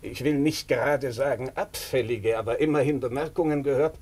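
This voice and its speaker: An actor playing a blunt, shrewd doctor with a deliberate, sardonic, probing manner in a German radio drama. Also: male voice, mid-range voice, a little mellow, husky, close to an everyday voice. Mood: ironic, probing. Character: blunt, shrewd, bespectacled male country doctor.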